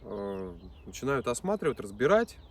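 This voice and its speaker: A male mechanic casually introducing his repair video, relaxed and rambling as he tells the story. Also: mid-range voice, normal speed, distant mic, a little hiss or hum. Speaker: auto mechanic, channel host.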